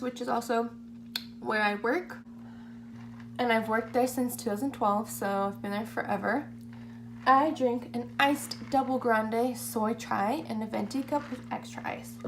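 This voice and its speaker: Higher-pitched young woman, noisy hum behind her. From California, United States. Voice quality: hoarse. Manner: self-deprecating, rambling, trailing into mutters. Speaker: beauty vlogger. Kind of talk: casual makeup tutorial chat. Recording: amateur home video, clear humming background noise.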